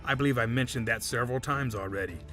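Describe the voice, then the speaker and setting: A slippery, falsely polite suspect played by a man gives courtroom testimony in an audio drama. His voice is forward and crisp-edged, with precise, condescending phrasing. Soft music runs behind him. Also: mid-range voice, fast, natural voice. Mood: feigned innocence. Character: smug evasive male suspect on the witness stand, falsely polite.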